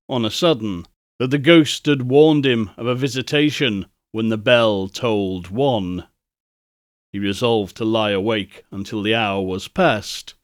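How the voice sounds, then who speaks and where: A man narrating a classic tale as a dramatic storyteller, with theatrical, deliberate and emphatic phrasing. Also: medium pitch, dry recording, studio quality. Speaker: audiobook narrator.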